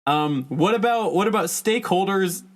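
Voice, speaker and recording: Higher-voiced man with glib pitchman patter. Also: animated, normal speed. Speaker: podcast panelist. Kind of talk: improvised comic pitch and banter.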